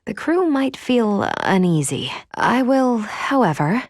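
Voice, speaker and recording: A woman playing a polite, earnest female android in a video game, speaking in formal, precise, measured phrases. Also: on the high side, sing-song, dry recording, clean studio sound, heavily acted. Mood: hesitant.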